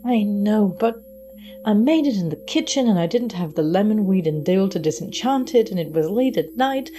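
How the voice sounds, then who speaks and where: A woman's voice: mid-range voice, lively intonation, moderate pace, warm tone, a little hiss or hum, natural voice. A woman playing an earnest, lovestruck young wizard's apprentice with a hesitant manner in an audio drama. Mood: ashamed, nervous. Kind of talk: scripted audio drama dialogue.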